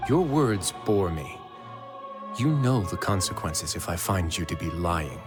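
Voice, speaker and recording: An actor playing a calm, protective duke in a video game, with a measured, dry, authoritative delivery. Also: male voice, deep voice. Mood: stern, dry. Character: calm, commanding, protective adult male duke. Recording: background music with strings.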